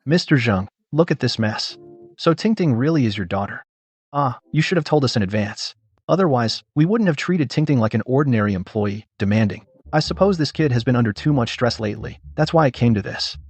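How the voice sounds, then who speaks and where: A man's voice: medium pitch, lively intonation, brisk, a little mellow, breathy edge, no room sound. A man playing a scheming, bullying middle-aged company manager in a melodrama, scornful and blustering. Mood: placating. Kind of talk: dubbed melodrama acting.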